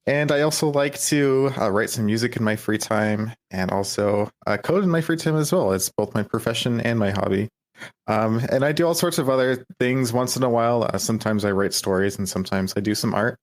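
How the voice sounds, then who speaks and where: A man's voice: mid-range voice, a little fast. A young man as a podcast guest, chatting casually and self-deprecatingly, with many fillers and easy laughs. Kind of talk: casual interview chat.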